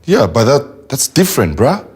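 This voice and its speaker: A man's voice: mid-range voice, big pitch swings, moderate pace, a little mellow, slightly rough. A man acting as a suspicious, teasing young brother in a TV sitcom, slangy and mock-stern. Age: young adult.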